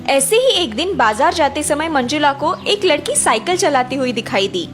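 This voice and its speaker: A woman's voice: high-pitched, very animated, normal speed, music in the background. A woman narrating a scripted story for children.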